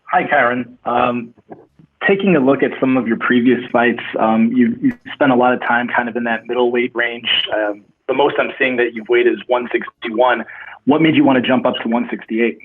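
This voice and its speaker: A man's voice: mid-range voice, fairly even intonation, moderate pace, husky. A man working as a sports reporter, asking interview questions over a telephone. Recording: muffled, narrow phone line.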